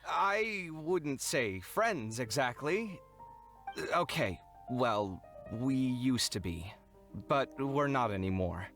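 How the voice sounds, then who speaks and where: A fussy, theatrical young man from an anime game, easily flustered and exasperated. The mid-pitched voice swings widely up and down, with music underneath. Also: expressive, takes it slowly. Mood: awkward, apologetic. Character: flustered, dramatic, touchy young male roommate. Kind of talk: anime video game voice acting. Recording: music behind.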